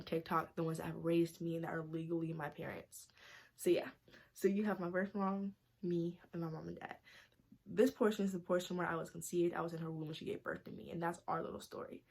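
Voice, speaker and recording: A woman's voice: fairly low pitch, creaky. Chatty Southern young woman vlogger. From North Carolina, US. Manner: chatty, many "like"s and "you guys". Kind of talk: casual talk to camera. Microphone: camera mic.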